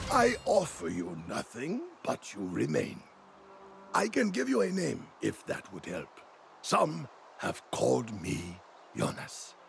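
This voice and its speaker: Aged cryptic hermit for a fantasy game: gravelly, halting, haunted, widely swinging pitch. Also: male voice, a little hiss or hum, heavily acted. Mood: gruff, dismissive. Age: older. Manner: halting, brooding, rambling. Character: cryptic, haunted old hermit fisherman, muttering riddles. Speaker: video game character. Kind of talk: dramatic game cutscene acting.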